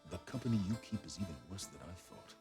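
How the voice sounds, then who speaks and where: An arrogant older genius in a video game, with a very deep voice. He speaks in haughty, precise, measured phrases, cold and full of disdain, with music clearly behind him. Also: animated. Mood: cold, wary. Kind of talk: naturalistic game acting.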